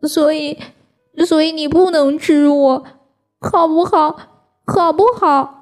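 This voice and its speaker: A woman voicing a small baby spinosaurus in a high, pleading voice. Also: steady tone, slow pace, faint music behind.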